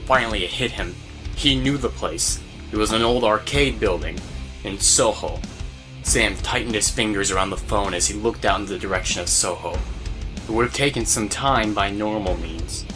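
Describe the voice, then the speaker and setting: A man's voice: lowish voice, moderate pace. A man narrating a scripted story as the narrator of an audio drama. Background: music.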